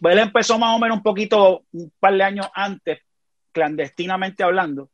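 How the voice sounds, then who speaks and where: A man with a Puerto Rican accent, a podcast guest telling animated stories in a casual conversation. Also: high-pitched, moderate pace, dry recording, lo-fi sound. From Puerto Rico. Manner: animated storyteller, frequent restarts.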